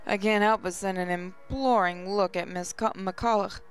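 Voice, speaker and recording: A woman gaming host reading a visual novel aloud as expressive first-person story narration. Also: medium pitch, moderate pace. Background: music.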